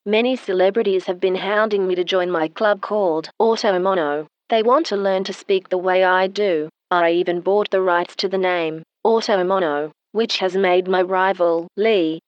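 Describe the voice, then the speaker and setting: A woman's voice: mid-range voice, no echo, dull audio, natural voice. A woman playing a smug, matter-of-fact character who talks like an emotionless computer in a comedy radio drama. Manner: stilted, robotic, unemotional delivery.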